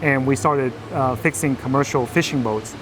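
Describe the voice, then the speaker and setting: A man's voice: mid-range voice, moderate pace, noisy background. A man from Vietnam, a guest telling his life story in a casual interview, with many fillers and restarts.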